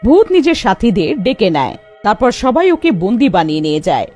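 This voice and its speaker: A woman's voice: medium pitch, sing-song, fairly quick, a little mellow, soft background music. A woman giving a scripted narration of a horror fairy tale.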